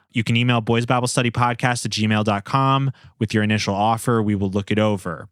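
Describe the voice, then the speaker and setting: Male podcast host, low voice, dry close sound, joking and mock-earnest in loose chat. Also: dry recording.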